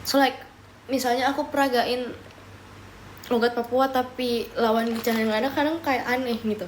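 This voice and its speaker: Young woman streamer, relaxed and chatty, drifts between languages while snacking, pitched a bit high. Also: normal speed, a little hiss or hum, rough recording. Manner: chatty, often switching into English mid-sentence; talks while eating and sipping a drink. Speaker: live streamer. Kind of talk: casual livestream chat answering viewer comments.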